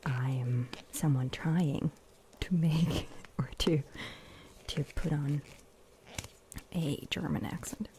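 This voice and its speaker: A woman streaming ASMR, chatting casually to viewers in a soft, breathy whisper close to a sensitive microphone. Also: sits low, a little hiss or hum.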